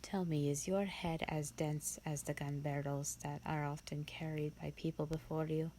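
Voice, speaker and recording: A woman playing a bored, haughty, ancient female vampire in a roleplay audio, with a breathy, half-whispered, condescending drawl. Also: low voice, flat delivery, normal speed, warm tone, a little hiss or hum, close to an everyday voice. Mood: disdainful. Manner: condescending, languid, theatrical drawl.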